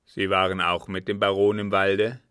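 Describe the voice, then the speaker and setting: A man voicing a stern, suspicious army captain in an audiobook, gruff, haughty and accusing. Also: sits low, flat delivery, moderate pace, fairly bright tone, no room sound, clean studio sound. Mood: angry, accusing. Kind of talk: audiobook character voice.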